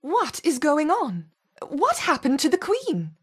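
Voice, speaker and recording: A woman's voice: high-pitched, wildly swooping pitch, a little slow, full character voice. A woman playing an authoritative lead scientist in a video game, with a clipped, commanding manner. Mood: alarmed. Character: authoritative female lead scientist overseeing experiments. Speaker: research supervisor character. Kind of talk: video game character acting.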